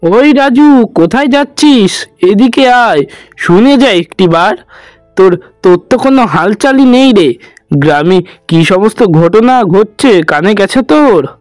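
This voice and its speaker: A man voicing an excitable, pushy village man who spreads grim news in a horror cartoon. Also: sits high, unhurried, dry recording, faint music behind. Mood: excited.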